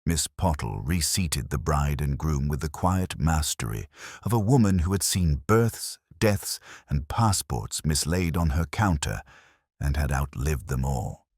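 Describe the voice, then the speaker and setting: A man narrating a mystery story as a scripted reading, in a measured, dryly literary manner. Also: a bass voice, expressive, darkish tone, breathy edge, no room sound, clean studio sound.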